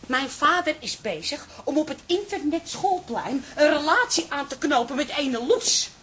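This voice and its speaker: An actress playing an indignant, stubborn middle-aged wife and daughter in a radio play, sharp-tongued and exclamatory. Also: female voice, sits high, lively intonation, moderate pace, slight background noise. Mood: shocked, upset. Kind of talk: naturalistic Dutch radio drama acting.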